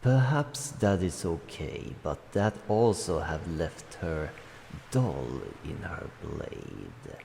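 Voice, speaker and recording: A man voicing an ancient dragon guardian, solemn, calm and kindly, speaking slowly in formal, measured phrases in a roleplay addressed to the listener. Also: heavily acted. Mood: reflective, solemn. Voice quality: deep, gravelly. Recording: long cavernous reverb, faint background noise.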